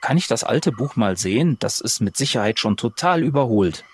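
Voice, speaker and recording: Man playing a lively, crafty old craftsman. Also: medium pitch, moderate pace, a little hiss or hum, natural voice. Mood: persuasive. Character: crafty old male craftsman, cautious and persuasive.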